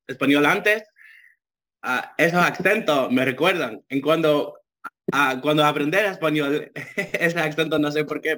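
A man from Ecuador and the United States, a guest in a casual interview, speaking halting Spanish with a Dominican-tinged accent and dropping into English. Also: medium pitch, sharp tone. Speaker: interview guest, musical performer.

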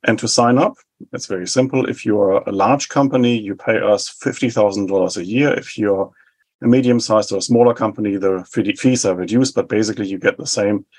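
A man in his forties from West Germany with a German accent, explaining public policy in measured, list-like answers as an interview guest. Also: lowish voice, a little mellow. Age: 40s. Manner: measured, explanatory, rhetorical lists. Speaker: think tank head, guest.

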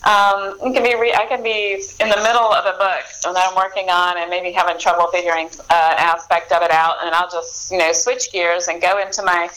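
A woman's voice: on the low side, flat delivery, fairly quick, few pauses, fairly bright tone, poor audio. A woman in her forties, an author guest, talking in a rambling, easygoing way with many restarts. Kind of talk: casual interview chat.

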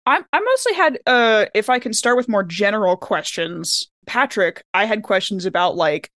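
A woman's voice: medium pitch, animated, moderate pace, a bit bright, no room sound. A woman with a mid-range voice talks on a podcast panel in an informal, giggly way. The sound is dry, with no room to it, and the delivery is casual conversation. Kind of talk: casual chat.

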